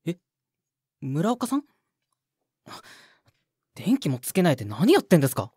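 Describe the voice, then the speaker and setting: A man's voice: a little high, wildly swooping pitch, a little slow, a little mellow, slightly rough, dry recording, studio quality, full character voice. A man playing a young junior sound mixer, hesitant, casually polite and easily startled, in a Japanese audio drama. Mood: startled, awkward. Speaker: voice actor.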